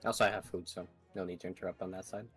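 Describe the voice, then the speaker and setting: Man, slightly low register, relaxed group-chat style, faint background music. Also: very fast. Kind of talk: casual group chat on a livestream. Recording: music playing behind the voice.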